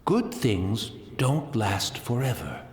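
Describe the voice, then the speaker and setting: An actor playing an elderly blind tea master in a game, courteous and wistful, speaking haltingly. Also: male voice, mid-range voice, big pitch swings, echoey room, heavy background noise. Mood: wistful, resigned. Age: older. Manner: courteous, hesitant, halting speech. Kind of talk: English game voice acting. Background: game music.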